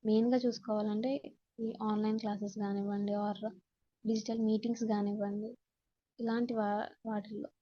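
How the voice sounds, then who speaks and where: A woman's voice: mid-range voice, level tone, a little fast, pauses often, lo-fi sound. A woman with a Telugu accent giving a lesson as an instructor, reading out points and then explaining them with repetition. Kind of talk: slide-based lesson, explaining in Telugu and English.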